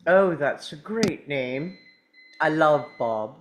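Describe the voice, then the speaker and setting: An eccentric, teasing time traveller read by a woman at a table read talks slowly, with big swings of pitch. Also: animated, slight background noise. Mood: teasing, breezy.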